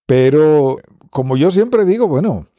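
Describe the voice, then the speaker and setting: A Dominican man and radio broadcaster with a mostly neutral accent, answering interview questions in an unhurried way with many pauses and fillers. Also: fairly high pitch, animated, mellow, no room sound, clean studio sound. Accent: Dominican Spanish, mostly neutralized. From Dominican Republic.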